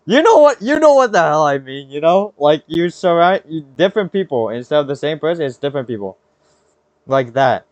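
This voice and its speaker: A man's voice: a little high, big pitch swings, brisk, no room sound. A young adult American man hosting a podcast in a casual, slangy, rambling way, often cutting himself off mid-thought. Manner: slangy, rambling, self-interrupting. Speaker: podcast host. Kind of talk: casual chat.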